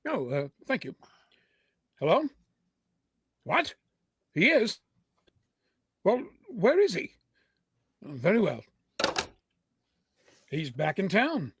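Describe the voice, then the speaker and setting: A man's voice: high voice, huge pitch swings, slow pace, gravelly, pauses often, fairly bright tone, slight background noise, full character voice. A man playing a flustered, put-upon employee with a hesitant, stammering delivery in a vintage radio drama.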